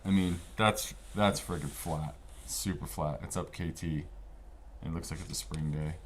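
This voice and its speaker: Low-voiced man around fifty, slangy storyteller. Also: fairly even intonation, unhurried, slight background noise. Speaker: interview guest, snowboarding veteran. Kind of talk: casual storytelling while flipping through a book.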